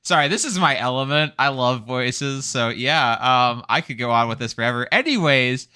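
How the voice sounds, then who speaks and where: A man's voice: medium pitch, expressive, moderate pace, pushed and bright, smooth, dry recording, clean studio sound. A man hosting an interview, upbeat and playful, laughing often as he moves the chat along. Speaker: host and interviewer. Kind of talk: casual chat.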